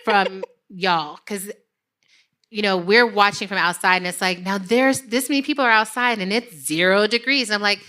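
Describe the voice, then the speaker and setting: A woman's voice: mid-range voice, moderate pace, bright, forceful tone. A woman co-hosting a live podcast taping on stage, interviewing her sister and acting out her own reactions in quoted voices. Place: live event stage before an audience.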